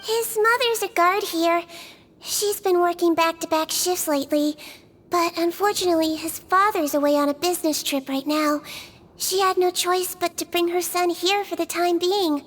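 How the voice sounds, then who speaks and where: A woman voicing a small, gentle, earnest young nurse creature in a video game, sweet and softly polite. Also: very high voice, moderate pace. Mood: earnest, rueful. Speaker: doctor.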